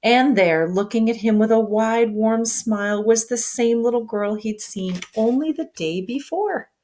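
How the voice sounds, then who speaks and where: Teacher reading a picture book aloud to children, expressive, engages the listener with questions. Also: female voice, a little high, unhurried.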